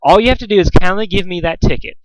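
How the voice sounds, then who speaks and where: A man's voice: high-pitched, fast, no echo, voice pushed up, natural voice, poor audio. A man voicing a prim, dramatic female unicorn fashionista in a story reading, with theatrical, haughty delivery. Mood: sugary, coaxing.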